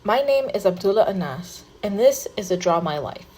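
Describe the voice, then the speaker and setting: Woman voicing a young man, first-person storybook narration, husky, earnest read. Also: mid-range voice, animated, moderate pace, darkish tone, rough recording. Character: young man recounting his life story.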